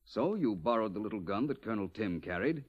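An actor playing a suave, self-assured detective in 1940s radio drama style, with a smooth, wry, deliberate delivery. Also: male voice, fairly low pitch, animated. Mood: coolly accusing. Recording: muffled old 1940s radio recording.

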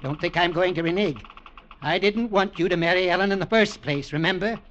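An actor playing a cold, taunting, middle-aged businessman uncle in an old radio drama, with a sneering, deliberate delivery. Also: high-pitched, a bit bright, slight background noise. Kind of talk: 1940s-50s radio drama acting. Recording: dull vintage radio recording.

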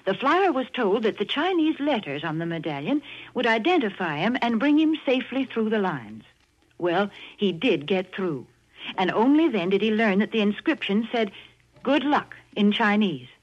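A woman announcer on a 1940s radio show, chatty, reading a sales pitch. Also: very animated, normal speed. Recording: dull, old radio recording.

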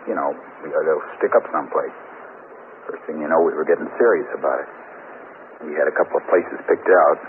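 An actor playing a sullen, defiant out-of-work robbery suspect, surly and defensive, in a 1950s radio drama. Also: male voice, very deep voice, brisk. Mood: resigned. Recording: scratchy, narrow-band 1950s radio recording.

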